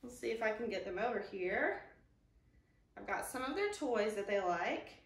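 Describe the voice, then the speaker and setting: A woman in her thirties hosting a children's storytime, speaking in a gentle, sing-song, drawn-out way to young viewers. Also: medium pitch, expressive. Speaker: library storytime host. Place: living room, indoors, on a couch. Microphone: camera a few feet away. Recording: roomy echo from camera distance.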